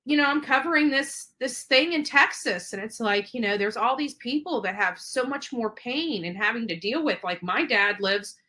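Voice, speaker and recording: A woman's voice: fairly high pitch, expressive, moderate pace, hardly any pauses, sharp tone. A woman giving unscripted online commentary in a rambling, repetitive way that leans on stock phrases.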